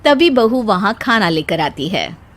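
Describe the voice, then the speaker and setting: Casting: female narrator for a children's moral tale, unhurried scripted reading. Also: mid-range voice, sing-song, a bit bright.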